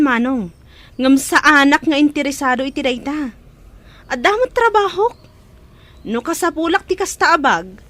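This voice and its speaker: An actress with an Ilocano accent playing a principled younger sister with a pointed, reproachful manner in a radio drama. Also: female voice, high-pitched, a bit bright. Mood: proud refusal. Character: principled, outspoken younger sister scolding her elder brother.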